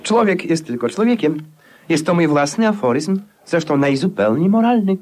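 A man's voice: on the high side, big pitch swings, moderate pace, darkish tone. An actor playing an eccentric, mannered male suitor in a 1950s radio comedy, with flowery, pompous politeness.

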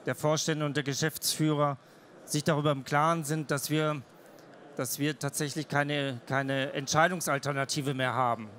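A man's voice: on the high side, fairly even intonation, moderate pace, a bit bright, slight background noise. A man in his fifties, a managing director, making his point emphatically on a trade fair panel with a handheld mic. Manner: earnest, emphatic, repeats points. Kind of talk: panel discussion on stage. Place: large trade fair hall stage.

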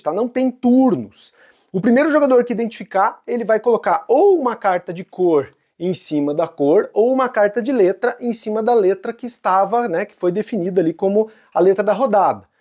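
A woman's voice: mid-range voice, mellow, slightly rough. A Brazilian woman presenting a board game tutorial and review in an informal, conversational way, often checking in with short tags. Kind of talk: casual explanatory tutorial and review.

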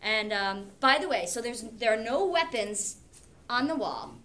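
A woman's voice: fairly high pitch, animated, a little slow, a bit bright. A middle-aged woman teacher reading aloud theatrically in a classroom, with informal explanatory asides. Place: classroom, indoors. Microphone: camera a few metres away. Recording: classroom camera with background noise.

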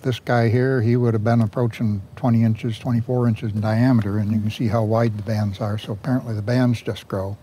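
An older man with a mellow, warm voice leads a tour of his rural garden, telling stories in a folksy, unhurried way. He is recorded outdoors on a lapel mic, with faint country ambience behind him. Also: lowish voice, little pitch movement, slight background noise. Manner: folksy, unhurried, chuckles at own jokes. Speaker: garden owner giving a tour. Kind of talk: casual storytelling tour.

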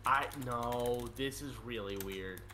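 A man's voice: mid-range voice, takes it slowly, crowd noise. A man hosting an online card game with friends, talking casually and swearing freely. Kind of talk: casual profane gaming banter.

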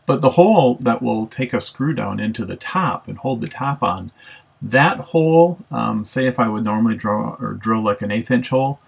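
A man's voice: mid-range voice, expressive, moderate pace, creaky, husky. A man casually narrating a woodworking build, thinking aloud with ums and self-corrections. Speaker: woodworking instructor. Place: home woodshop.

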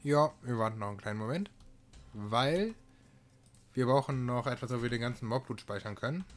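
A man's voice: mid-range voice, brisk. A man giving casual let's-play commentary as a gaming video host, thinking aloud while he plays. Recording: music behind the voice.

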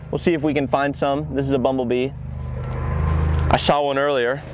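A young man in his twenties teaches out in an open farm field, speaking into a clip-on mic. He talks at a middle pitch, eager and hands-on as he walks through each step. A faint hum of passing cars sits behind him. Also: level tone, moderate pace. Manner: enthusiastic, explains step by step while handling plants. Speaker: farm educator. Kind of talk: outdoor teaching walk-through. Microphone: lapel mic.

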